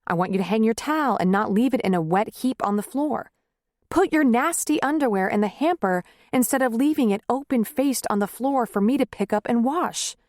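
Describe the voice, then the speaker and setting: A woman's voice: medium pitch, a little mellow, no room sound, clean studio sound. A woman voicing a weary, fed-up wife who is grieving and angry, speaking in raised, heated tones. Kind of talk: audiobook character reading.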